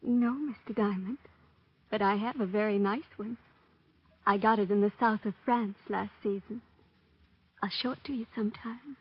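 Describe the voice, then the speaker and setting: A woman's voice: medium pitch, moderate pace, long pauses, slightly rough, natural voice. An actress playing a polite, wealthy young society woman, composed and refined, in golden-age radio style. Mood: coy. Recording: muffled old radio recording.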